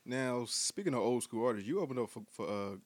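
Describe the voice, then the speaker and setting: A man hosting a podcast, asking questions in a quick, slangy, joking way. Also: medium pitch, expressive, dry recording. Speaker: podcast host. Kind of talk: casual podcast interview.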